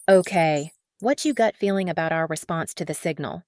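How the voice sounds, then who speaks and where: A woman's voice: low voice, normal speed, a little mellow, no room sound, natural voice. A woman playing a cautious, anxious astrophysicist in a sci-fi audio drama, with a serious, apprehensive manner. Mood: wary, questioning.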